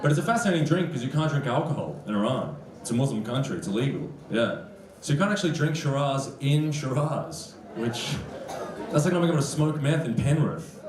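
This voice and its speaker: A man's voice: mid-range voice, big pitch swings, a little fast. Male Australian stand-up, dry and conversational, live echoey room with audience. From Sydney, Australia. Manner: dry, conversational joking with the crowd. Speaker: stand-up comedian. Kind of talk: live stand-up comedy set. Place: on stage in a comedy club. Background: crowd laughter.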